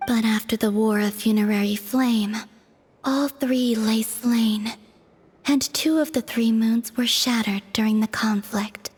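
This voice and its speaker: A woman voicing an aloof, serene young moon goddess in a game cutscene, speaking softly and formally in a measured way. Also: a little high, steady tone, husky. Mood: serene, solemn. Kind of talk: video game cutscene voice acting.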